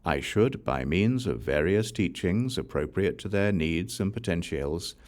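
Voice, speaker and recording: A man reading scripture aloud as an audiobook narrator, solemn and formal, in measured phrases that fall away at the ends. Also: deep voice, expressive, a little mellow. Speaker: audiobook reader.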